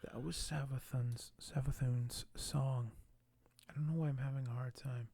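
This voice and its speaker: A soft-spoken man hosting an ASMR unboxing, talking in a hushed, halting way that often nears a whisper. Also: medium pitch, steady tone, vocal fry, no echo. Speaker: ASMR video host.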